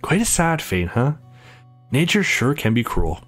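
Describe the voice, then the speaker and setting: A man's voice: medium pitch, very animated, unhurried, fairly bright tone, soft background music. Man reading as wistful young trivia lover.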